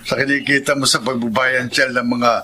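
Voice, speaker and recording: A man's voice: medium pitch, little pitch movement, a little fast, background noise, natural voice. An actor playing a smug, chauvinist buddy of a man in a radio drama. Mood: smug, jovial.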